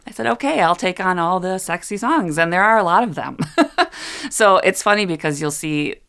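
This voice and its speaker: A woman's voice: fairly low pitch, sing-song, normal speed, fairly bright tone, clear, resonant tone. A middle-aged woman, a sign language interpreter, talking earnestly in an interview, often restarting her sentences and laughing easily. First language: English. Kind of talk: interview talk.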